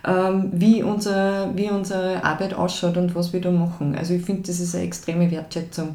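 A woman's voice: lowish voice, level tone, moderate pace, dark tone. A woman, a project founder talking as a podcast guest, emphatic and full of hesitation fillers. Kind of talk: casual conversation. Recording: roomy echo.

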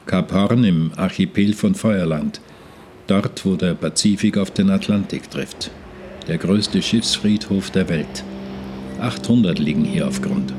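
Guy as deep grave narrator, ominous sea drama, noise n music behind. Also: unhurried, a little mellow. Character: grave male announcer of perilous seas.

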